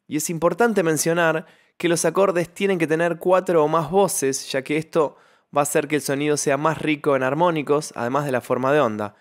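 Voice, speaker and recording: A man's voice: on the high side, fairly even intonation, fairly quick. A man presenting an instructional tutorial in voice-over, explaining step by step into a close microphone. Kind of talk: instructional software tutorial narration.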